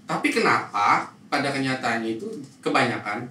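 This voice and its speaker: A man, a podcast host chatting in a playful, animated way. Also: mid-range voice, normal speed. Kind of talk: casual banter and discussion. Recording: echoey room, distant mic, faint noise.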